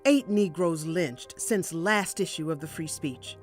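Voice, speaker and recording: A woman's voice: low voice, unhurried, faint music behind. An actress playing a resolute young woman journalist of the 1890s, reading her writing firmly and with measure for a documentary. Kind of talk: dramatic reading of historical writing.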